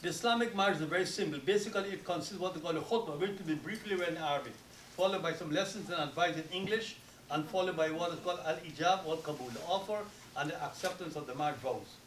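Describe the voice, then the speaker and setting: A man from Guyana with a Guyanese accent, officiating a wedding and giving a solemn, formal sermon with rapid Arabic recitation. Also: on the high side, a bit bright, echoey room, recorded from a distance, a little hiss or hum, low-quality recording.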